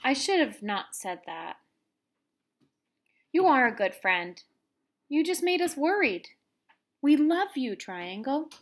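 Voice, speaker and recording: A woman's voice: sits high, sing-song, takes it slowly, long pauses, voice pushed up, full character voice. A woman voicing a bossy but caring female storybook shape in an emphatic, earnest, exclaiming way. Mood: apologetic.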